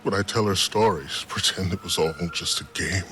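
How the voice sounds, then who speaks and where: An actor playing a weary, grieving father and war survivor in a video game cutscene, with a low, gruff delivery. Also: moderate pace, pushed and bright, soft background music. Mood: somber, wistful.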